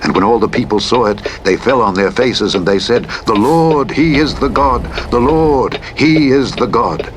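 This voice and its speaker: A man reading scripture as a scripted narration, in a measured, solemn and declamatory manner. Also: medium pitch. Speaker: audiobook narrator. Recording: clear vehicle noise over a radio playback. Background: truck engine and driving noise from a simulator game.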